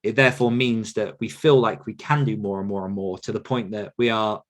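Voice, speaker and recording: Low-voiced man talking reflectively. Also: fairly even intonation, fairly quick. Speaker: podcast host and author coach answering questions. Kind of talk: casual, reflective Q&A talk.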